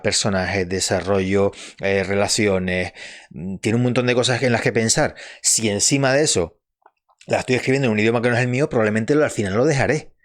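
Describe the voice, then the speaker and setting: A man, a novelist giving casual advice on writing, talking in a rambling way with restarts and turning emphatic on key points. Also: on the low side. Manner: conversational, rambling with restarts and fillers; emphatic and earnest when stressing a point.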